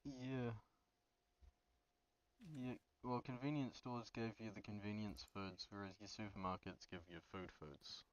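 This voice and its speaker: A man's voice: lowish voice, fairly even intonation, a little slow, no echo, highs cut off. A man chatting casually, giving short, understated replies. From New Zealand. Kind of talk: casual chat.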